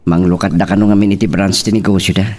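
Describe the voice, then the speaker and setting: Deep-voiced young man in love acted out. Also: little pitch movement, quick pace, warm tone, far from the mic, close to an everyday voice. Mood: downcast. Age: young adult. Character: young man in love, humble, anxious job seeker. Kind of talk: Ilocano radio-style romance drama acting.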